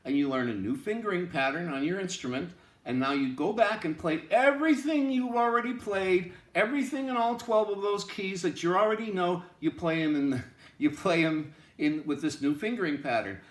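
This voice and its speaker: Echoey, higher-voiced man teaching music. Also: expressive, normal speed. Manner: emphatic, repetitive explaining. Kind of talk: informal instructional lecture. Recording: roomy, echoing room sound.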